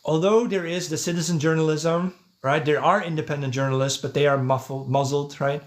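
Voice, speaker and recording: A man's voice: a little high, fairly even intonation, brisk. Man talking casually on a livestream. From Netherlands. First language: Dutch. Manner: conversational, thinking aloud, checking with "right?" and "yeah". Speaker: podcast host, livestreamer. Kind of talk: casual livestream monologue, answering chat comments.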